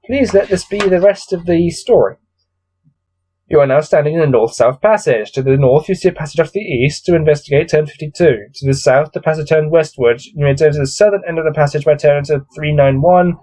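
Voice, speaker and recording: Male gamebook host, slightly high but soft-edged voice, casual read-aloud with weary, grumbling asides. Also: a little fast. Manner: bored, exasperated, grumbling. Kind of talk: casual commentary mixed with read-aloud narration.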